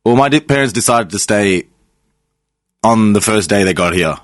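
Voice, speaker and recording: A relaxed man in his twenties from Sri Lanka, a native Sinhalese speaker, talking in a fairly low voice. He chats loosely on a podcast, laughing easily and letting sentences trail off. The sound is dry and close, recorded in a studio. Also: normal speed, no echo. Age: 20s. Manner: laid-back, laughs often, trails off mid-thought. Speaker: podcast guest. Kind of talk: casual chat.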